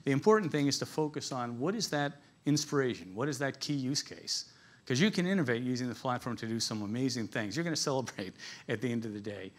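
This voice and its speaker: A man presents to a business conference in an informal, conversational way. His pitch sits in the middle range, and he tells things like stories with a light, joking touch. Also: expressive, fast. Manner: conversational, jokey storytelling, chuckles at his own asides.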